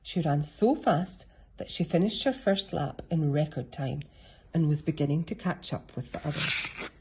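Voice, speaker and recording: A woman's voice: low-pitched, normal speed, a little hiss or hum, poor audio. A woman narrating a children's picture book as a storybook reader.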